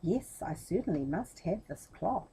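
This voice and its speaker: A woman's voice: lowish voice, expressive, measured pace, dark tone, slightly rough, heavily acted. A woman voicing a vain, pompous male emperor in a stately, self-assured manner for a children's storybook. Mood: pompous, eager.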